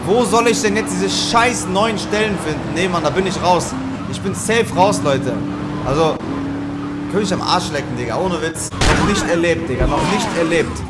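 A man's voice: high voice, normal speed. A man in his twenties ranting and cursing as he plays and comments for his viewers, recorded at home on a studio microphone. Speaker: gaming YouTuber. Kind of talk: angry let's-play commentary. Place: small room at home. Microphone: studio mic on arm with headphones. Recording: game music loud behind voice.

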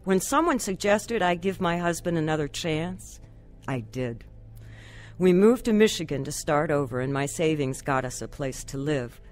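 A woman's voice: low voice, animated, moderate pace, darkish tone. A sorrowful young mother over music. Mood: regretful. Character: troubled wife and mother telling her life story, earnest, sorrowful. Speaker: lead actress narrating. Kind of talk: radio drama first-person narration.

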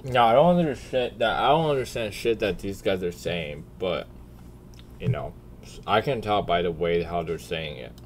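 A man's voice: low-pitched, animated, normal speed, a little hiss or hum. A man giving casual, slangy reaction commentary as a streamer, blunt and full of swearing. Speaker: streamer and video creator.

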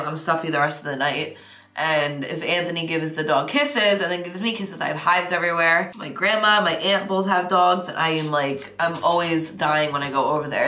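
Young woman rambling on while doing her makeup, lowish voice, roomy echo, faint music behind. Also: bright, forceful tone. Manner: rambling and chatty, lots of "like". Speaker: beauty vlogger. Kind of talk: casual chatty vlog while doing makeup. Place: home makeup room, indoors.

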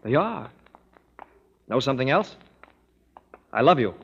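A sweet earnest suitor in an old radio play, muffled sound, hall echo. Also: male voice, medium pitch, sing-song, measured pace, vocal fry, breathy edge, heavily acted. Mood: tender. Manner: earnest, gently humorous. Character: earnest young suitor Felix, warm and witty. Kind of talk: 1930s radio drama acting.

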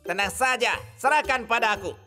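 Excitable parrot dad, theatrical salesman. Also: very high voice, moderate pace. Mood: smooth flattery. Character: excitable, salesman-like parrot father, flattering and eager. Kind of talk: animated cartoon dub acting. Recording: music bed under the voice.

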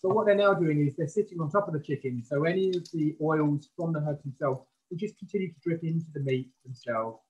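A male chef gives a live cooking demonstration over a video call. He explains things informally and clearly step by step, standing back from the camera in a professional kitchen. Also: a little high, fairly quick, hardly any pauses, darkish tone, muffled recording.

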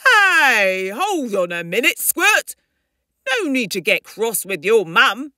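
A woman voicing an easygoing yet excitable father dinosaur, chummy and informal, in a children's storybook. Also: on the high side, takes it slowly, no room sound, clean studio sound, voice pushed up, full character voice. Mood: chummy, soothing.

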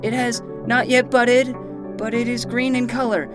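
A woman's voice: a little high, level tone, normal speed, close to an everyday voice. Woman voicing an earnest young boy detective over music. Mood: dramatic, excited. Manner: dramatic hard-boiled private-eye delivery. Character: eager, self-important twelve-year-old boy playing detective. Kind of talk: fiction podcast acting and narration.

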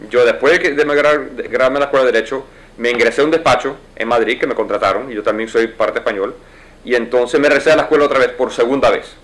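A man's voice: mid-range voice, fast talker, a bit bright, poor audio. A man in his forties, a lawyer, giving fluent, explanatory answers in a seated interview in an office. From United States. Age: 40s. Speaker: lawyer and judicial candidate. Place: wood-paneled office, indoors.

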